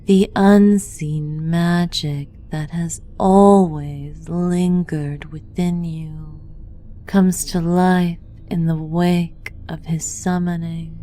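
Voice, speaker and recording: A woman narrating a scripted guided meditation in a hushed, deliberate, incantatory way. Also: fairly low pitch, fairly even intonation.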